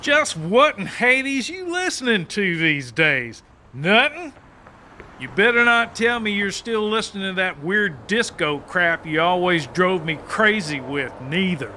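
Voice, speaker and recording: A man's voice: high-pitched, big pitch swings, measured pace, bright, forceful tone, a little hiss or hum, heavily acted. A man voicing a brash, crude country cowboy killer in an audiobook, full of folksy insults and sayings. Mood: rowdy. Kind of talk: audiobook character acting.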